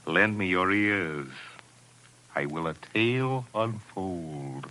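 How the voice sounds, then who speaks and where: A man's voice: low voice, expressive, takes it slowly, noisy background. A man playing a vain, witty middle-aged stage actor in theatrical, arch 1940s radio style. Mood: confiding. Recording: vintage 1940s radio broadcast, music.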